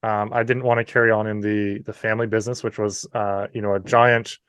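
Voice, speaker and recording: A man's voice: on the low side, level tone, brisk, fairly bright tone, clean tone, dry recording. A man in his thirties from New Brunswick with a Canadian accent, telling his story as an interview guest in a friendly, chatty way. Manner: friendly, chatty, frequent "you know" asides.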